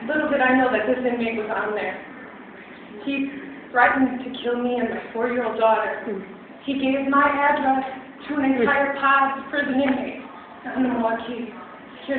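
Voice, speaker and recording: A woman's voice: fairly high pitch, narrow, tinny audio, slight background noise. A woman from in her thirties giving impassioned public testimony as a probation agent, stressing and repeating her key phrases, recorded from far off on a phone in a large hall.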